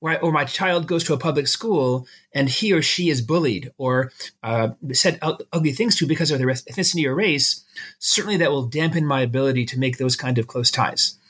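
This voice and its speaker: A man's voice: medium pitch, fairly quick, a bit bright, breathy edge. A middle-aged man with an American accent, explaining things conversationally with everyday examples as an expert interview guest.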